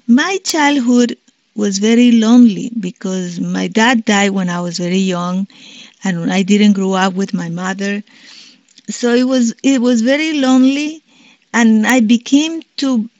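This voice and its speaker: A woman in her sixties from Colombia with a Colombian Spanish accent, telling her life story in a reflective way, pausing and restarting often. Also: a little high, no echo, lo-fi sound. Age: 60s. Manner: reflective, many restarts and pauses, soft-spoken. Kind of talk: personal life story, unscripted.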